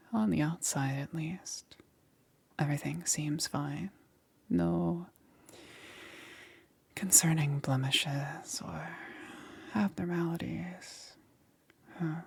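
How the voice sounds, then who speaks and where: Woman acting as a gentle witch, real slow n low, husky half-whisper, asmr roleplay, kinda hesitant. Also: heavily acted. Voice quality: breathy, husky, often whispering. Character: soft-spoken witch tending a patient, gently secretive.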